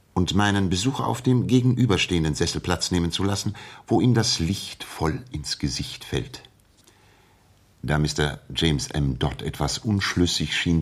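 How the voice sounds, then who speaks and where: A master detective from a 1960s radio play. The voice is very deep and rough-edged. He speaks with pedantic precision and a dry, superior composure. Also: full character voice. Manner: measured, pedantic, dryly superior. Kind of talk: 1960s German radio play acting and first-person narration.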